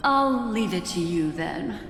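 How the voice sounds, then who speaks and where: Serene mystery woman in a game, really slow talking, echoey, music under. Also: fairly low pitch, lively intonation, fairly bright tone, slight background noise, heavily acted. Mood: serene, solemn. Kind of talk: video game story voice acting. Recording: echoey hall reverb, music behind.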